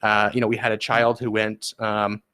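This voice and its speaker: Male guest, food bank director, fairly low voice, earnest explainer with lots of fillers and restarts. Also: completely flat delivery, normal speed, pushed and bright, smooth. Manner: earnest and explanatory, with frequent fillers and repeated words. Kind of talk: interview answers.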